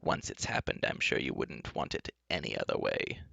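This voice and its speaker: Guy doing a plump old witchy enchantress from a storybook, gravelly and hushed, cheerful, kindly, kinda threatening. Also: low voice, sing-song, moderate pace, dry recording, dull audio, voice pushed down, heavily acted. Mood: soothing, menacing. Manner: kindly, coaxing, matter-of-fact about threats. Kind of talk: storybook character reading.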